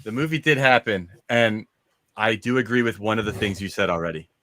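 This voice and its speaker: A man's voice: lowish voice, a little fast, bright, forceful tone, no echo. Casual male host with a dry, close sound. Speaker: host of a movie discussion show. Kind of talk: casual panel discussion.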